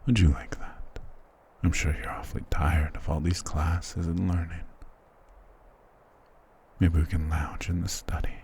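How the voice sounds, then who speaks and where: A man's voice: deep voice, flat delivery, a little slow, long pauses, darkish tone, a little hiss or hum. A man playing a dominant, doting vampire lord in an intimate ASMR roleplay, speaking tenderly and possessively with formal endearments. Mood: tender, seductive.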